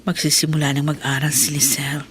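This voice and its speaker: A woman's voice: low voice, little pitch movement, brisk, close to an everyday voice. A woman with a Filipino accent playing a troubled, curious housewife in a radio drama, sighing and thinking aloud. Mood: musing, curious. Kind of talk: Filipino radio drama acting, musing monologue. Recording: noisy radio drama track.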